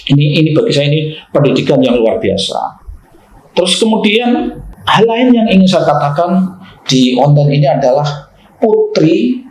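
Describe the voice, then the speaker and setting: Higher-voiced man lecturing emphatically. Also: darkish tone. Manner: didactic, emphatic, repeats phrases and poses rhetorical questions. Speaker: opinion show commentator. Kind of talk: unscripted opinion commentary in an explanatory, lecturing style. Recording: roomy, echoing room sound.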